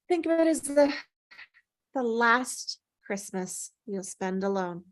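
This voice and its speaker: A woman's voice: a little high, expressive, takes it slowly, no room sound, rough recording. A woman playing a teasing, melancholy older female ghost in an acted script read, with wry, sighing, dramatic delivery. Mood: coaxing, sly.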